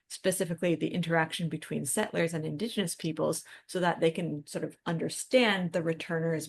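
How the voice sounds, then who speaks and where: A woman's voice: fairly low pitch, vocal fry. A woman co-hosting a podcast, talking in a casual, informal and reflective way. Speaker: podcast co-host.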